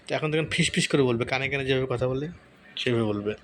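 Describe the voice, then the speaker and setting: A man with a mid-range voice talks casually through a software tutorial. He thinks out loud, slowly repeating words as he types them. Some background noise sits behind his voice. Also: mid-range voice. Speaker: tutorial host. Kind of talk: casual screen tutorial, thinking aloud while typing.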